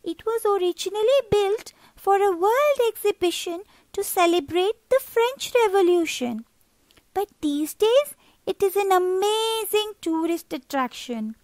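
A woman's voice: extremely high pitch, darkish tone, no room sound, voice pushed up. A woman voicing a polite, proud young boy who speaks with care, in a storybook reading. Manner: careful, proud.

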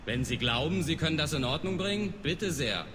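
Curt alien merc guard from a video game, kinda high voice, scornful, noisy background. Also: male voice, lively intonation, a little fast, a bit bright. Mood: matter-of-fact. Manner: curt, dismissive. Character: gruff alien mercenary guard, contemptuous of humans. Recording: reverberant game scene with clear background noise.

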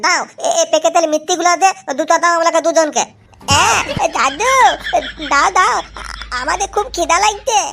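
Super high whiny little boy for a cartoon, lispy, stammery, cheeky n demanding. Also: normal speed, bright, forceful tone, slight background noise, full character voice. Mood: pleading. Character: cheeky, hungry little grandson demanding sweets. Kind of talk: amateur cartoon comedy voice acting.

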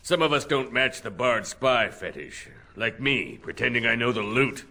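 A man's voice: medium pitch, expressive, moderate pace, creaky, bright, forceful tone. A man playing a wry, cynical rogue in a video game, speaking in a dry, sardonic, terse manner.

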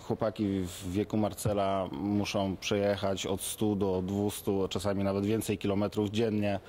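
A man answering interview questions in a halting way, pausing often on hesitation sounds. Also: lowish voice, level tone, a little mellow, a little hiss or hum.

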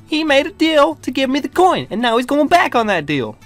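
A man's voice: very high-pitched, very animated, brisk, faint music behind. A man playing a demanding, stubborn leader of a displaced people in an improvised game roleplay, blunt and argumentative.

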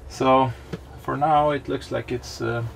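A man's voice: medium pitch, fairly even intonation, slow, gravelly. A Swedish man in his thirties, a sailing vlogger, explaining repairs and the voyage in a matter-of-fact way while on a boat. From Sweden. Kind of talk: casual vlog narration. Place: on a sailboat at sea and in harbor. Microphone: handheld camera on a selfie stick. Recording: loud wind and engine noise. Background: boat engine and water.

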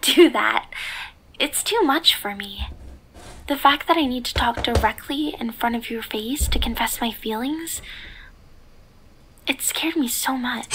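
A woman playing a giggly, obsessive yandere girl in an ASMR roleplay, sweetly menacing and slipping into breathy whispers. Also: on the high side, pushed and bright, slight background noise, low-quality recording. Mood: coy, obsessive. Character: giggly, obsessive yandere girl holding her crush captive.

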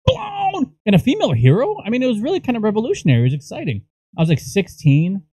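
A man's voice: high-pitched, wildly swooping pitch, fast talker, mellow, slightly rough, dry recording. An American man in his thirties co-hosting a podcast, giving crude, opinionated comic commentary with swearing, close on the mic. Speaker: podcast co-host. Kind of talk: comedic ranting movie commentary. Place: small indoor room set up as a podcast studio. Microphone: close podcast microphone.

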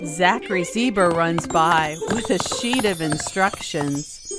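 A woman reading a rhyming children's picture book aloud in an expressive, deliberate storytelling manner. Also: on the low side. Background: music behind the voice.

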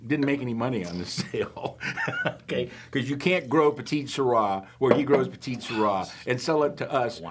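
A man in his fifties with a lively, swinging voice trades dry jabs with his partner across a shop counter, then gushes over each wine. A light hiss runs underneath. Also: medium pitch, normal speed. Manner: wry, sarcastic, effusive about wine. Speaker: wine taster, co-host. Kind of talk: casual wine tasting banter. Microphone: overhead boom mic.

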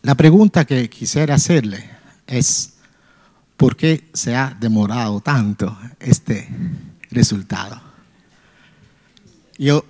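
A man in his forties, a native Italian speaker with a slight Cuban lilt, asks a formal question from a conference audience into a handheld microphone in a large hall, earnest and halting. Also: medium pitch, mellow. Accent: Italian, with some Cuban Spanish. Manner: earnest, halting, with frequent pauses. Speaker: audience member asking a question.

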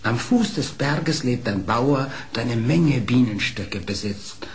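A sly fox for a children's radio play. He wheedles with false innocence, his pitch swinging freely at an unhurried pace. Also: lively intonation. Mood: sly, wheedling. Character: sly male fox, smooth-talking trickster.

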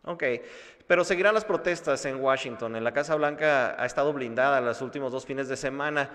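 A man's voice: medium pitch, fairly quick, fairly bright tone. A man, hosting a news interview at a desk microphone. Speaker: interview show host. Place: indoor studio room. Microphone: desk microphone on a stand. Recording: long hall-like reverb.

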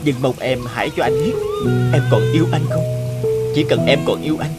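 A man's voice: a little high, brisk, loud music behind the voice, close to an everyday voice, poor audio. A man playing an earnest, pleading young husband in a cartoon melodrama. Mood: remorseful, pleading.

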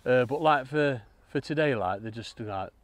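Chatty angler outdoors. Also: male voice, mid-range voice, normal speed. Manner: chatty, matey, calls people "mate". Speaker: angler presenting a fishing video. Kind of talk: casual chat while fishing. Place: outdoors at a lakeside fishery.

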